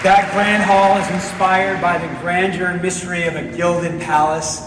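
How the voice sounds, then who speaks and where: A man's voice: high-pitched, little pitch movement, moderate pace, far from the mic. Man presenting in a big echoey hall. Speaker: company executive presenting on stage. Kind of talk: keynote presentation to a live audience. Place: large darkened convention auditorium, on stage. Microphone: stage PA heard from far back in audience. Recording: audience phone recording, echoey hall, crowd noise.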